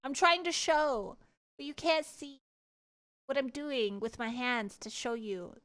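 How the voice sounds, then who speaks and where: A high-voiced woman streamer talks casually to her viewers. She is animated and giggly, rambling from one thought to the next as she tells her stories in a conversational livestream style. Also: slow. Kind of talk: casual livestream chat and storytelling.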